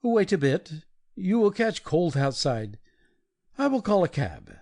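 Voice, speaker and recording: A woman's voice: on the low side, sing-song, measured pace, mellow, exaggerated voice. A woman voicing an anxious, practical husband in a dramatic audiobook reading. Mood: concerned.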